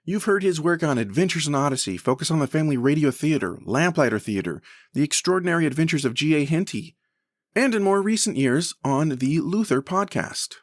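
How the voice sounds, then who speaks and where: A man's voice: fairly high pitch, lively intonation, fairly quick, no room sound. A man hosting a podcast in a conversational, enthusiastic manner. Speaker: podcast host.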